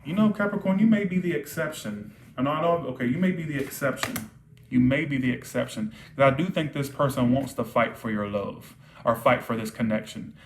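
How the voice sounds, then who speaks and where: A man at middle pitch giving a live tarot reading, repeating himself and asking "all right?" as he goes. His voice is starting to wear out from long talking. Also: brisk, a little hiss or hum. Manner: repetitive, checks in with frequent "all right?". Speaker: tarot reader.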